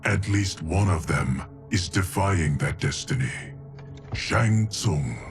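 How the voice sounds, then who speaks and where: A man playing a stoic, dutiful ancient guardian of time in a video game, speaking formally and deliberately. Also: sits low, animated, over music, heavily acted. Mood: grave warning. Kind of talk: cinematic video game drama acting.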